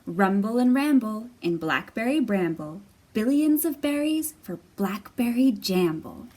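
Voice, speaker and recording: A woman's voice: mid-range voice, animated, a little slow, mellow. A woman hosting storytime for young children, teaching and reading aloud in simple, repetitive, encouraging phrases, recorded in a library room. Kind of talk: instructional talk and picture-book read-aloud for young children. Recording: distant mic, noisy room.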